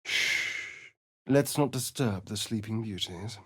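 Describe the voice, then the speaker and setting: An actor playing a suave, sardonic, preening vampire rogue in a video game, with an arch, theatrical drawl and dramatic pauses. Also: male voice, fairly low pitch, bright, forceful tone, slightly rough, no room sound, clean studio sound, exaggerated voice. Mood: arch, teasing. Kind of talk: video game character voice acting.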